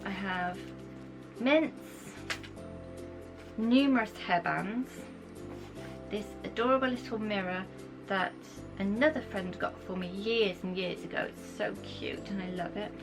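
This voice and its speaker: A young British woman, croaky from a cold, chatting enthusiastically as she shows off her things to camera. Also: medium pitch, unhurried, long pauses, low-quality recording. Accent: British English. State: croaky from a cold, sore throat. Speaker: vlogger. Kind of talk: casual chat to camera. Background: background music.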